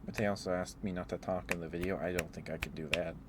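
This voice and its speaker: A soft-spoken man hosting an ASMR live stream, chatting informally and rambling casually to his listeners. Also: low voice, fairly even intonation, fast talker, very noisy.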